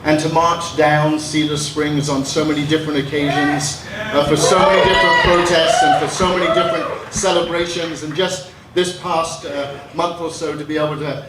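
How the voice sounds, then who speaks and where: East Texas pastor speaking outdoors. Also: male voice, fairly high pitch, little pitch movement, normal speed, hardly any pauses, very noisy. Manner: warm joking, with filler ums and uhs. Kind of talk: public speech at outdoor ceremony. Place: outdoor street gathering. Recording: echoey from far off.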